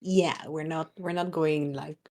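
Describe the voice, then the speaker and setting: A woman's voice: low voice, fairly even intonation, measured pace, darkish tone. A woman who laughs often, chatting in a giggly way with short replies while streaming game development. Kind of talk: casual chat on a dev stream.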